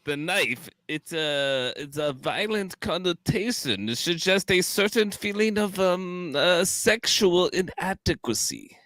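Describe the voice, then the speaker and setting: A man playing a pompous, excitable psychoanalyst in a radio play, lecturing theatrically. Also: high-pitched, unhurried, hardly any pauses, no room sound. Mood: hesitant. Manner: theatrical, lecturing, erratic swoops. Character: pompous, excitable old-school male psychoanalyst, a holodeck Freud. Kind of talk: radio play live read.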